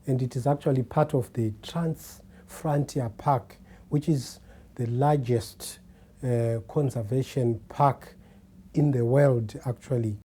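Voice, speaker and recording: A man tells stories from his youth in a measured, deliberate way. His voice is dark and mellow, and he takes his time between phrases. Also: medium pitch, fairly even intonation, breathy edge. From Zimbabwe. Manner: slow, measured storytelling. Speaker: documentary interviewee. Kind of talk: reminiscing to camera.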